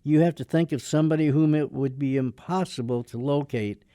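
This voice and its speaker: An actor playing a brusque, sardonic attorney with a soft heart in a radio drama. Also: male voice, a little high, brisk, dry recording, clean studio sound, natural voice. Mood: earnest, persuasive.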